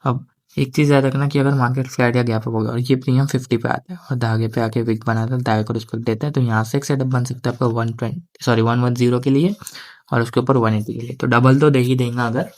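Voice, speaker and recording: Man, sore throat, slow relaxed delivery, casual market talk. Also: mid-range voice. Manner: conversational, repeats filler "theek hai". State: sore throat, speaking slowly. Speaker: stock market analyst. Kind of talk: casual trading analysis to viewers.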